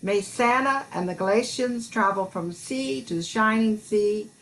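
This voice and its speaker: A woman playing a kindly, motherly wife of Santa, gentle and encouraging, in an amateur Christmas radio play. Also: mid-range voice, a little slow, rough recording. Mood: fond, hopeful.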